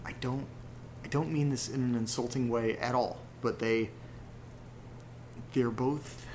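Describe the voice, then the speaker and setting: Actor doing a gravelly young guy rambling into his podcast diary, audio drama, halting, faint noise behind him. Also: medium pitch, fairly even intonation, a little mellow, natural voice. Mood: wistful, wry. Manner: halting, rambling, self-deprecating. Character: rattled, rambling young man recording a personal podcast. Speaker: narrator character of a horror audio drama. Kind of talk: confessional audio diary monologue, conversational.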